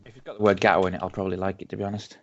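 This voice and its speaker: A man with a Yorkshire accent co-hosting a casual whisky tasting podcast. He reads tasting notes slowly and teases his friend. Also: low voice, flat delivery. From Yorkshire, England. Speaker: podcast co-host. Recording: dull lo-fi home podcast audio.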